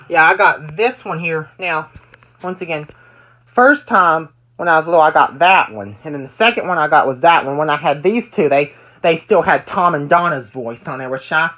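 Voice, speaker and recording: A person giving a casual, repetitive, halting show-and-tell as a hobbyist vlogger at home. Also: mid-range voice, bright, forceful tone, low-quality recording. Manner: repetitive, halting explanations with repeated phrases. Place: indoors at home.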